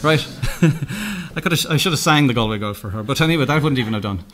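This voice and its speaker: A middle-aged Irish man giving a conference keynote, telling anecdotes with lots of halting restarts and self-corrections. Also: medium pitch, lively intonation, a bit bright. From Ireland. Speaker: keynote speaker. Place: conference room, indoors.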